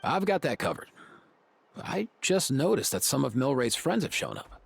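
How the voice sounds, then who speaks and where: An actor playing a casual, excitable, good-natured young adventurer in a video game, expressive and slangy. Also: male voice, medium pitch, normal speed, vocal fry, slightly rough, heavily acted. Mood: confident.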